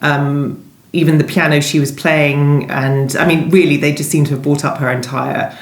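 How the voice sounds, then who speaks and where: A woman with a deep voice talks as an interviewed novelist. She wanders through her answers, pausing and rewording. The room adds a clear echo. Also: level tone. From London, England. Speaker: guest novelist being interviewed. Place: indoors at home. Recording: echoey room.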